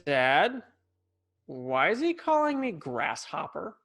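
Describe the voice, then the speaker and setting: A man's voice: on the high side, wildly swooping pitch, slow pace, a bit bright, exaggerated voice. An actor playing an eager, cocky young boy in a sitcom table read, with earnest delivery. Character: eager, cocky young boy wanting martial arts glory. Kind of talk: sitcom table read over video call.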